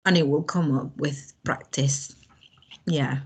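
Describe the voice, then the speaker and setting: Guest voice: young woman, unhurried, lowish voice, halting speech full of ums. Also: fairly even intonation, a little mellow, slightly rough. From Spain. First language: Spanish. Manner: hesitant, many fillers and restarts. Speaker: language student guest. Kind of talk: casual podcast conversation.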